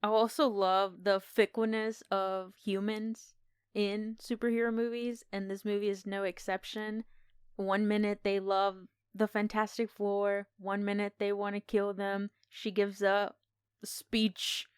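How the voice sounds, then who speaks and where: A woman podcast co-host with a clean, smooth voice at a medium pitch. She talks casually and enthusiastically, retelling things expressively. The sound is close and dry. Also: flat delivery, measured pace, dry recording. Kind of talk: casual film discussion.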